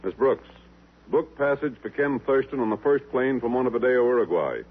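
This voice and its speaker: An actor playing a gruff, authoritative government bureau chief in a 1950s radio drama, given to exclaiming. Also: male voice, mid-range voice, lively intonation, moderate pace. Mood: firm. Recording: tinny, band-limited 1950s radio recording.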